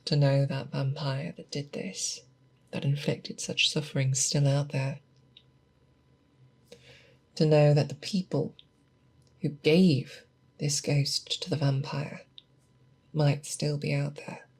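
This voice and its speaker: A woman playing a seasoned, grave and protective female monster hunter in a slow, hushed, breathy ASMR roleplay, close to the microphone. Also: low-pitched, flat delivery, heavily acted. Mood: grave, determined. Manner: slow, deliberate, hushed, with pauses. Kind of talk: ASMR roleplay, close soft speech and whispers. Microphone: close binaural microphone.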